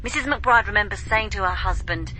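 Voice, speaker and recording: An actress playing an efficient junior British police detective reporting to her boss in a radio drama, crisp and businesslike. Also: medium pitch, fairly quick, pushed and bright, slight background noise, close to an everyday voice, poor audio.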